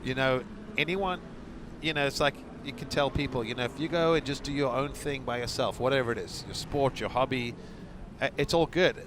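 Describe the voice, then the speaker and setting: Male color commentator, mid-pitch voice, relaxed chatty banter, engine noise behind. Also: a little fast. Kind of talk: live motorsport commentary. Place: outdoor race track. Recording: engine noise from outdoor track. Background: racing trucks and engines.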